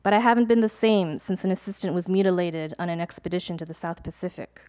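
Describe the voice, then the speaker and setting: A woman's voice: lowish voice, a little mellow. Woman reading her poems to an audience. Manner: measured, deliberate reading with chatty hesitant asides between poems. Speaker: poet. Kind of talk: poetry reading with casual asides to an audience. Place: live reading event before an audience.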